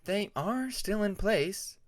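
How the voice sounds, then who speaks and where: A man voicing a young female dragon who explains things calmly and patiently in a measured, earnest way. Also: sits high, voice pushed up. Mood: soft, concerned.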